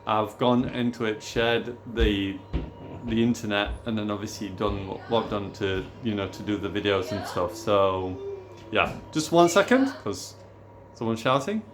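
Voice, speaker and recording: Gaming vlogger, man with a lowish voice, hoarse, rambling and casual. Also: a little slow, noisy background. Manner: rambling, repeats "obviously" and restarts often. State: losing his voice, hoarse. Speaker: gaming YouTuber. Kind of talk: casual rambling vlog talk.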